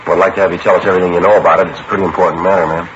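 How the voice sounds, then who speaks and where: An actor playing a police sergeant who narrates and questions witnesses in a clipped, matter-of-fact way, in 1950s radio drama style. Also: male voice, sits low, flat delivery, rapid-fire, background noise, close to an everyday voice. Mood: questioning. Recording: dull old radio broadcast with music.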